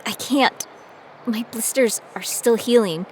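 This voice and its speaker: A woman's voice: fairly high pitch, slow, a little mellow, slight background noise. A woman playing a determined young female trainee who tells her own story in an audio drama, in scripted first person. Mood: indignant, pained. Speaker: narrator and lead character of an audio drama. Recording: studio voice over prominent music.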